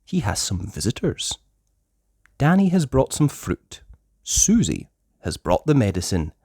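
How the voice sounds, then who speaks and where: A children's story reader narrates in a medium-pitched voice. The delivery is measured and unhurried, with very animated intonation and a dry, close sound. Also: breathy edge, no room sound. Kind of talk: read-aloud scripted narration.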